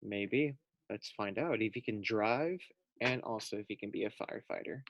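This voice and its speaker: A man's voice: fairly low pitch, normal speed, dry recording, lo-fi sound. A man reading a children's storybook aloud as a teacher, expressively, putting questions directly to young listeners.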